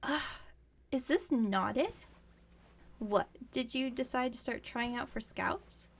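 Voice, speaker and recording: Woman as a high-voiced, wry, caring werewolf roommate. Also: slow, gravelly, full character voice. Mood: teasing. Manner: casual, teasing, rambling jokes, gently bossy. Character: caring, wry young female werewolf roommate, pack beta. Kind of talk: ASMR roleplay, intimate comforting monologue.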